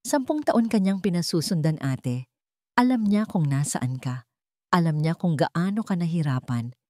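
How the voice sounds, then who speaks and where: A woman storyteller acts out an angry young male cousin in a radio-style drama. He is accusing and bitter, his delivery lively and confrontational, close and dry in sound. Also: lowish voice, normal speed, dry recording, lightly acted. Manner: trembling with anger, confrontational.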